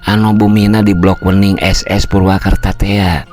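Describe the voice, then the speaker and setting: An older man voicing a plain, practical village mother, speaking softly, slowly and warmly as he tells the tale. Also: fairly low pitch, level tone, soft background music, pitched above the performer's own voice, close to an everyday voice. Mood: gentle.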